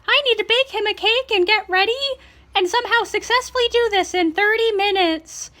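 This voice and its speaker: A woman mockingly voicing a frantic, eager young heroine in a shrill, breathless, overexcited voice. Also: a little fast, sharp tone. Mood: frantic excitement. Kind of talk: mocking parody reading.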